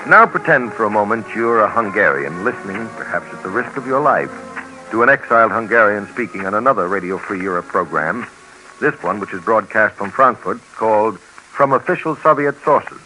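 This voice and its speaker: A man narrating a 1950s radio documentary, speaking in a measured way straight to the listener. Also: on the low side, lively intonation, pushed and bright, low-quality recording. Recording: old radio recording with music behind.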